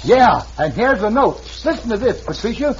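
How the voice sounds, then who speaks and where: An actor playing an easygoing frontier deputy with a hesitant drawl, in 1940s radio acting, on an old radio recording with faint hiss. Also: male voice, sits high, very animated, normal speed, highs cut off. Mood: puzzled.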